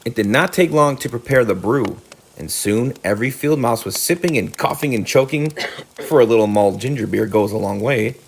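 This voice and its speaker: A man reads a children's story aloud like a teacher. His mid-pitched voice moves up and down a lot, with faint noise behind it. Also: expressive, normal speed. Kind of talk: children's storybook narration with word explanations.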